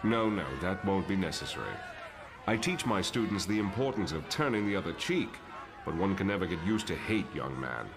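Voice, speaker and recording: A man playing a composed older professor in a motion comic, speaking in a measured, formal, polite manner. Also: low-pitched, animated, over music. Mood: calm, dignified. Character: composed, courteous older male professor and mentor.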